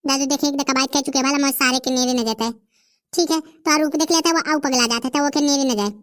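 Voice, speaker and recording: A woman's voice: high-pitched, steady tone, fast talker, a bit bright. A woman voicing a chatty, teasing village character in a comedy cartoon, with a Bundeli accent.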